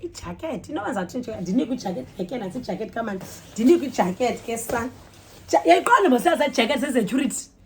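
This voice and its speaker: High-voiced South African Xhosa woman telling stories. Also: quick pace, slight background noise. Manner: animated, code-switches mid-sentence, exclaims and acts out dialogue. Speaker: storytime vlogger. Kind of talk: casual conversational storytelling.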